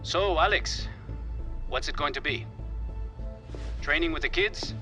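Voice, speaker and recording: A confident football club boss making his pitch in a game cutscene. His voice is bright and forceful, with faint music behind. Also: a little slow. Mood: persuasive. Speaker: actor in a video game. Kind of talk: cinematic game acting.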